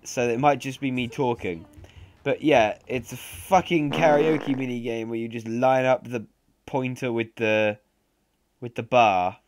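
A man's voice: mid-range voice, steady tone, a little slow. A young man with a stuffy nose giving sarcastic, sweary, chatty commentary over a video game. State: congested. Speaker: let's play commentator. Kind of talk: casual gameplay commentary.

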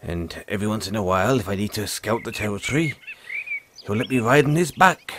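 A man playing a gruff but friendly forest dragon tamer, talking in a folksy way straight to the listener in an audio roleplay. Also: lowish voice, fairly quick, background noise, close to an everyday voice. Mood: friendly, explaining. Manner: folksy, teasing, calls the listener lad.

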